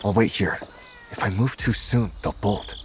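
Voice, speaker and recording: A man voicing a calm, wry investigator in a game, understated and confident. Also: fairly low pitch, normal speed, a little hiss or hum, lightly acted. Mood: quiet, focused. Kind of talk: English game voice acting.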